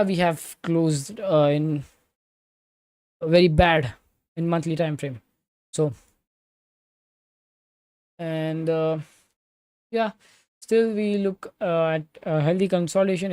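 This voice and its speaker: Halting man, mellow dry voice. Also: a little high, smooth, no echo. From Switzerland. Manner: halting, many fillers and restarts, loose grammar. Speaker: crypto trading livestreamer. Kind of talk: live market commentary, answering chat.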